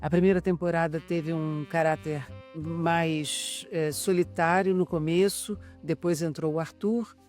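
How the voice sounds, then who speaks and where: A woman's voice: low-pitched, fairly even intonation, a little slow, hardly any pauses, a little mellow. A middle-aged Brazilian woman, an actress and co-writer, speaking in a making-of interview. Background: background music.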